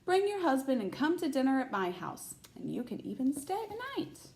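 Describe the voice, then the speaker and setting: A woman's voice: sits high, very animated, moderate pace, creaky, darkish tone, slight background noise, pitched above the performer's own voice, full character voice. A woman reading the part of an outspoken, determined First Lady in a children's storybook, with emphatic delivery. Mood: inviting.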